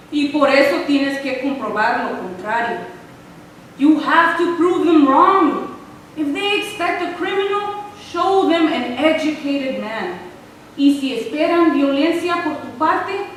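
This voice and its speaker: A woman playing a wise, steadfast Mexican American grandmother in a staged reading, speaking in measured, earnest English mixed with Spanish. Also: sits high. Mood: urging. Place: theater stage, recorded from the audience. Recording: echoey hall from far off, faint noise.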